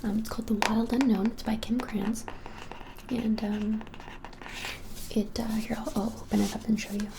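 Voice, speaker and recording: A woman in her twenties whispering softly and gently in an unhurried ASMR shop roleplay. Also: fairly high pitch, level tone, background noise. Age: 20s. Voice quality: soft, breathy whisper. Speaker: ASMR creator playing a witch shop keeper. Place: small indoor room, plain wall.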